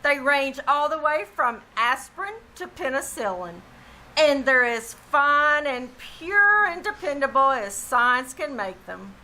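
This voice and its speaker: A woman playing an earnest family druggist delivering a 1940s-style radio commercial in a measured, formal way. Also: sits high, big pitch swings, sharp tone, heavily acted. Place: live small theater stage.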